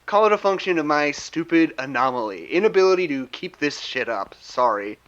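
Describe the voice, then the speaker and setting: A man's voice: a little high, animated, a little fast, fairly bright tone, highs cut off. An actor playing an exhausted, bitter male psychologist held in containment, speaking in a defeated, sardonic way in an audio drama. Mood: weary, bitter. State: tired. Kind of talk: scripted audio drama log.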